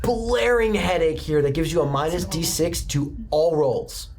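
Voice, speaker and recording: A man's voice: fairly high pitch, animated, measured pace, over music. A man narrating a tabletop roleplaying game as game master, setting scenes conversationally in the second person.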